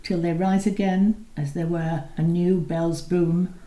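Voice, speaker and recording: A woman reciting poems, introducing each one before reading it in a measured, expressive way. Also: lowish voice. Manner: measured, expressive reading, slowing on key lines. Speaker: poetry reader. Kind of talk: poetry recital with spoken introductions. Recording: roomy echo.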